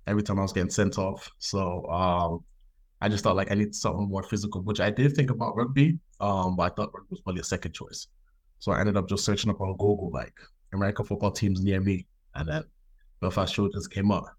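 Relaxed young male guest from Ireland, somewhat low voice, easygoing unhurried answers. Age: young adult. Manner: relaxed, laid-back, many ums and "you know". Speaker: guest football player. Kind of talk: casual interview answers.

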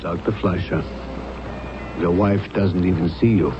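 An actor playing a blunt, unyielding psychiatrist in a radio drama, speaking in a curt, clinical, matter-of-fact way. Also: male voice, low-pitched, fairly even intonation, measured pace. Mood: grave, clinical. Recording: dull radio broadcast recording with music.

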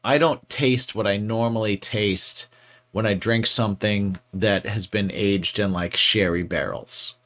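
A man's voice: lowish voice, fairly even intonation, unhurried, a bit bright. A man co-hosting a podcast, chatting casually and rambling playfully with many fillers. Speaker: podcast co-host. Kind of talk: casual chat.

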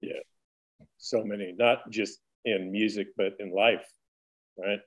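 A man hosting a podcast, softly affirming his guest in casual interview chat. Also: lowish voice, fairly even intonation, slow. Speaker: podcast host.

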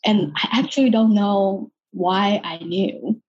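A woman from Taiwan, a psychologist talking about her career in a relaxed interview, hesitant with many restarts and quick laughs. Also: mid-range voice, slightly rough, muffled recording. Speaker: guest psychologist. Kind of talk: casual interview chat.

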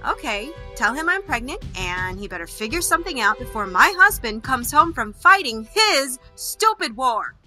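A woman's voice: high-pitched, very animated, normal speed, hardly any pauses, bright, forceful tone, faint music behind, exaggerated voice. An actress playing a young married woman who is loyal but desperate, speaking emotionally and sharply. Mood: upset. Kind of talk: audio drama acting.

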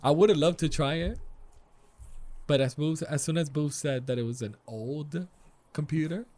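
A man with a somewhat high voice co-hosts a casual podcast. He is excitable, laughs often and talks in quick bursts. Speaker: podcast co-host, tech segment. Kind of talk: casual chat.

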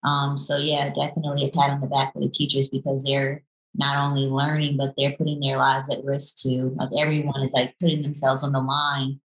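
A woman's voice: extremely low pitch, monotone, a little fast, roomy sound, rough recording. A young woman on a panel who talks casually and often fills with "like.". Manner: casual, uses "like" a lot.

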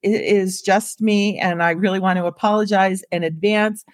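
A woman hosting a solo podcast for school counselors, her voice hoarse and raspy, talking casually and encouragingly to her listeners as friends. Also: medium pitch, fairly even intonation, moderate pace, no room sound, clean studio sound.

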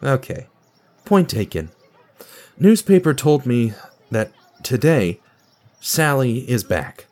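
A man's voice: mid-range voice, sing-song, takes it slowly, pauses often, darkish tone. A man playing an awkward, apologetic, burnt-out office worker in an audio drama, speaking hesitantly and earnestly. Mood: earnest, uneasy.

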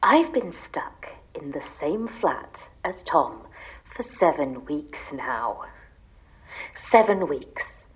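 An exasperated, animated wife. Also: female voice, sits low, very slow, exaggerated voice, rough recording. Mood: bitter, exasperated. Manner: ranting in long bitter lists, sometimes dropping to a secretive whisper. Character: exasperated, desperate wife sick of her husband, pleading to disappear. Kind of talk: audio drama acting.

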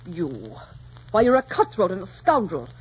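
A woman's voice: on the low side, big pitch swings, normal speed, slightly rough, heavily acted. An actress playing a haughty, wounded noblewoman in a 1940s radio drama, theatrical, scornful and impassioned. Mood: scornful, defiant. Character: haughty, defiant widowed English noblewoman, sharp-tongued and wounded. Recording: muffled, noisy 1940s radio recording.

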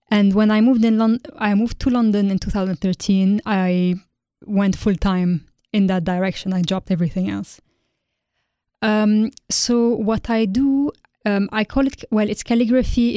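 A woman from Lebanon, a guest artist in an interview, speaking thoughtfully and pausing often with ums. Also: medium pitch, dark tone, dry recording, studio quality. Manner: thoughtful, hesitant with frequent ums. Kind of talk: interview conversation.

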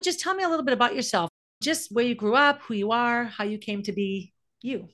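A woman's voice: a little high, fairly quick, fairly bright tone. A woman hosting a podcast, talking casually and with open enthusiasm as she introduces and chats with a guest. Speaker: podcast host. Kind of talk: warm-up intro and casual interview chat.

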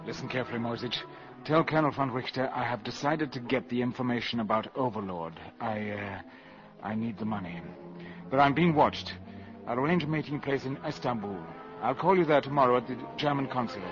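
An actor playing a hunted, scheming valet who sells wartime secrets, clipped and urgent, in 1950s radio drama. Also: male voice, mid-range voice, fairly quick, natural voice. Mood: guarded, scheming. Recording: dull old radio broadcast, loud music.

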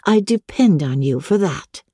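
A woman voicing an affected, genteel society lady with a gushing, high-flown manner in a classic novel reading. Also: animated, unhurried, mellow, breathy edge, no echo, studio quality, exaggerated voice.

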